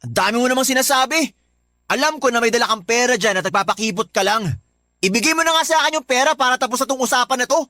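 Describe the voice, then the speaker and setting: A young man voicing a smooth-talking, manipulative suitor in a radio love story. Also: very high-pitched, fast talker, bright, forceful tone, dry recording, studio quality. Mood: demanding. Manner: charming at first, then demanding. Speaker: suitor Dennis. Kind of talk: radio drama acting.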